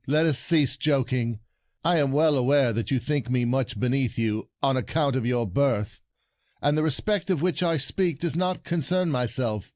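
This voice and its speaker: A man's voice: mid-range voice, a little mellow, dry recording, close to an everyday voice. A man playing an indignant, proud, jealous husband in a classic comedy audio play, scolding in formal old-fashioned phrasing.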